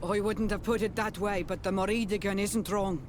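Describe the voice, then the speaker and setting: Man acting fierce game warrior, high male voice, urgent, resolute, faint rumble behind. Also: fairly even intonation, normal speed, natural voice. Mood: urgent defiance.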